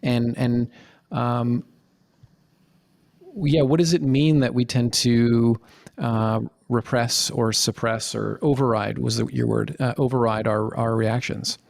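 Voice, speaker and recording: A man's voice: medium pitch, fairly even intonation, slow pace, gravelly. A man hosting a podcast, asking long follow-up questions and stumbling over restarts as he thinks aloud. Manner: stammering restarts, curious. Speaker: podcast host. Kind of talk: interview questions.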